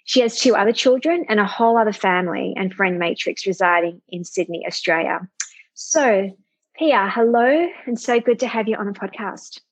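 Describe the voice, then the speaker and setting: A woman with a slightly husky, breathy voice hosts an interview podcast. She speaks in an earnest, affirming way, with the steady delivery of a prepared script. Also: medium pitch, moderate pace, low-quality recording. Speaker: podcast host.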